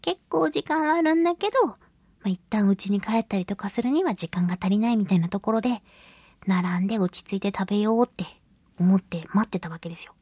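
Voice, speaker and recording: A woman's voice: mid-range voice, lively intonation, brisk, mellow, breathy edge, no room sound. A woman hosting a radio talk show, chatting casually about her own life in a self-deprecating, giggly, storytelling way. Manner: acts out little quoted remarks while storytelling. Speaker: voice actress. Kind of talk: casual solo radio chat, telling personal anecdotes.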